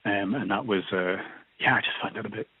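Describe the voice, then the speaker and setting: Minister on a phone line. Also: male voice, lowish voice, slightly rough, no room sound. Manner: hesitant, repeats words, recounts events slowly. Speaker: church minister. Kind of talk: radio interview guest. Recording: tinny dry phone line.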